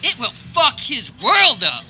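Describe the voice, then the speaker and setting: A woman's voice: high-pitched, wildly swooping pitch, slow pace, bright, forceful tone, heavily acted. A woman playing a crude, sarcastic, hot-tempered teenage boy in a live outdoor comedy radio play. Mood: sneering, cool. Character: foul-mouthed, hot-tempered, sneering rebellious teenage boy. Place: backyard, before a live audience. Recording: live outdoor recording, faint background noise.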